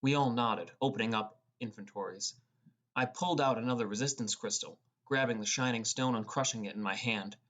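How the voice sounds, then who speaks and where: A man's voice: mid-range voice, a little fast, fairly bright tone. A man reading first-person fantasy narration as an audiobook narrator. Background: music.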